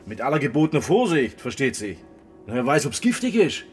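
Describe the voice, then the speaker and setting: An actor playing a jovial, quirky fruit researcher, chatty and teasing, in a radio drama. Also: male voice, medium pitch, sing-song, brisk, a little mellow, faint music behind, close to an everyday voice. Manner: chatty, folksy, teasing, tag questions. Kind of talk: German radio drama acting.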